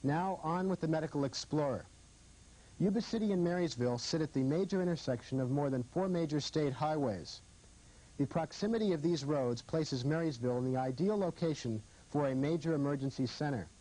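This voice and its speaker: Male American physician-host, formal scripted TV narration, soft-edged mellow tone with some huskiness. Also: on the high side, poor audio. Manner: formal, measured presenting.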